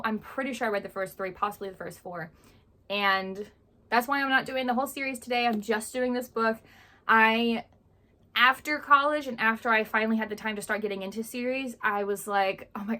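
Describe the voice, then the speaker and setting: A woman's voice: medium pitch, a bit bright. A young woman in casual book-review chat, chatty and rambling, sounding a little stuffy because she is under the weather. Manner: chatty, rambling, laughs often. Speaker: book vlogger.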